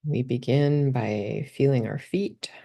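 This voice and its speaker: A woman guides a body scan meditation in calm, gentle steps. Her voice sits very low, with a gravelly texture and a mellow, dark tone. She speaks slowly and unhurriedly. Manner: calm, gentle step-by-step instructions.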